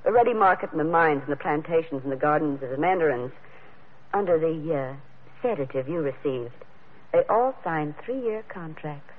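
An actress playing a haughty, scheming high priestess with a cool, formal, imperious manner in an old radio drama. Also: female voice, expressive, moderate pace. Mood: cool, smug. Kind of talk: 1940s radio drama acting. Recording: narrow vintage radio recording, faint music.

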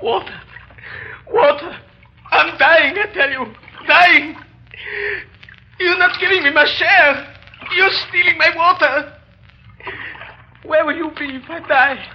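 High-pitched, desperate dying castaway. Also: male voice, takes it slowly, long pauses, heavily acted. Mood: desperate pleading. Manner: desperate, pleading, accusing. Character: dying, thirst-crazed escaped convict adrift at sea. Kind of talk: old-time radio drama acting. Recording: dull, noisy vintage radio recording.

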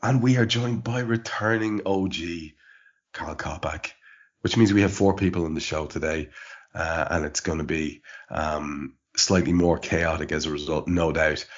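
A man's voice: extremely low pitch, fairly even intonation, moderate pace. A man hosting a podcast from home, congested and sniffling from allergies, talking in a wry, rambling way full of fillers. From Ireland. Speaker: podcast host. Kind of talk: casual chat. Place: home studio in rural Ireland. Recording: dull lo-fi podcast audio.

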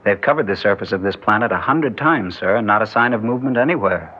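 Male actor as communications crewman, rapid delivery, precise, respectful, vintage radio play, muffled recording. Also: lowish voice, a little hiss or hum, close to an everyday voice. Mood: efficient. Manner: clipped, formal reporting. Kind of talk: old-time radio drama acting.

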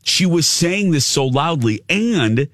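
Male radio host, big loud voice, lively swooping pitch, dry close sound. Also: slow, dry recording. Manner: swears casually. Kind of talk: storytelling chat on air.

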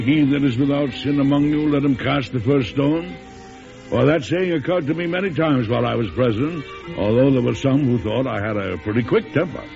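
Male actor as hot-tempered old president, hearty, emphatic, very animated pitch, vintage radio drama, muffled old recording. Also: lightly acted. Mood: wry, narrating. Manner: blustery, emphatic, oratorical. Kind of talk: 1940s-50s radio drama acting.

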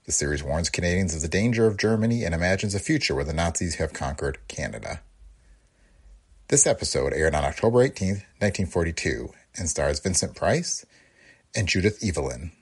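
Very low, husky male podcast host. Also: big pitch swings, brisk. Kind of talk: scripted podcast introduction.

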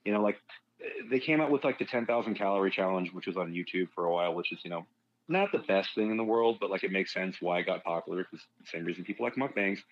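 Low-voiced man, frank and rambling. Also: lively intonation, quick pace, highs cut off. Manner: frank, rambling, swears freely. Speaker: fitness guest. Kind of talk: casual podcast chat.

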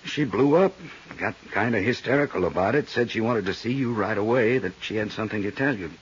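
A man's voice: lowish voice, a little fast, lightly acted. An actor playing an anxious, shaken, well-meaning office man with a hesitant, worried delivery in a 1950s radio drama. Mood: agitated, urgent. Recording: muffled, hissy vintage radio recording.

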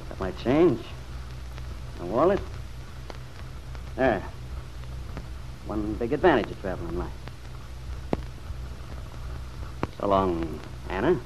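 Bitter, tough-talking young veteran. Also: male voice, fairly low pitch, sing-song, measured pace, pauses often. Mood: cool, wounded. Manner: clipped, sardonic, slangy. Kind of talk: old-time radio drama acting. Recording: dull, noisy vintage radio recording.

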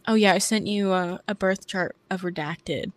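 A young woman with a soft voice, hosting a casual podcast chat in a shy, hesitant way that often trails off. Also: mid-range voice, moderate pace, dry recording. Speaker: podcast host.

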